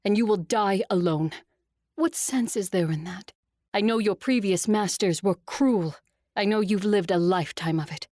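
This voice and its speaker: An actress playing a proud, seductive vampire ruler in an audio drama, with a cool, controlled, imperious manner. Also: female voice, medium pitch, normal speed, a little mellow, no echo, clean studio sound, natural voice. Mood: stern, pleading. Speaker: voice actor.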